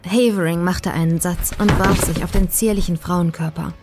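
A woman reading a horror story as a scripted, dramatic narration, measured and suspenseful. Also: on the low side, fairly even intonation. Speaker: audiobook narrator. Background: faint atmospheric music.

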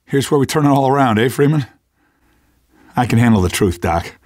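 An actor playing a cocky, stubborn rebel fighter in a video game, casual, streetwise and gravelly. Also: male voice, medium pitch, lively intonation, fairly quick, a little mellow, no room sound, clean studio sound, close to an everyday voice. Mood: wry, uneasy.

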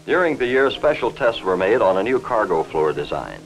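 An American man reading formal, measured, factual scripted narration in the style of a 1950s industrial newsreel, on a vintage film soundtrack. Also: on the low side, very animated, faint music behind, poor audio.

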